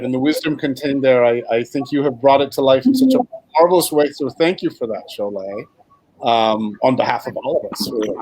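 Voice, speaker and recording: A man's voice: mid-range voice, poor audio. A man hosting an online writers' discussion over a video call, talking hesitantly with many fillers. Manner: hesitant, warm-toned with many fillers and restarts. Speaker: host. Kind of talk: casual moderated video call.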